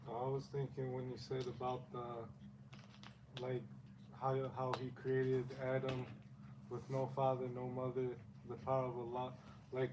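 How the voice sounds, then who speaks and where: Halting man asking from across the room. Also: medium pitch. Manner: halting, searching for words, many fillers. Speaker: class member asking a question. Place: mosque classroom. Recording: distant from the mic with faint background hum.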